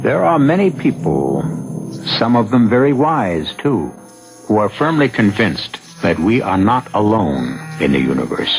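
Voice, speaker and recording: A man hosting a 1970s radio drama, narrating in a musing, theatrical and rhetorical manner with a gravelly voice. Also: lowish voice, big pitch swings, unhurried. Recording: old radio broadcast with music behind.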